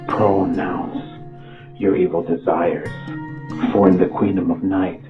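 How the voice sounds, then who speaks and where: A man reciting dramatic gothic spoken word in a brooding, drawn-out manner, sometimes dropping toward a whisper. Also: deep voice, little pitch movement. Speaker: author performing own lyric piece. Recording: echoey, muffled, under loud guitar music. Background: plucked guitar music.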